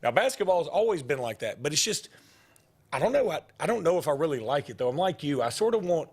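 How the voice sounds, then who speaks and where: A man's voice: quick pace, breathy edge. A middle-aged man, hosting a sports talk show and rambling through stories in casual chat. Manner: rambling storytelling, jumps in pitch when animated.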